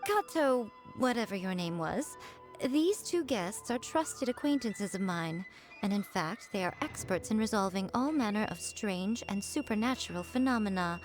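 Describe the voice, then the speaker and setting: Casting: woman as playful, haughty shrine priestess for a game, mid-pitched, smugly teasing and unhurried, music underneath. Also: expressive, lightly acted. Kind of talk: animated game voice acting. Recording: music behind the voice.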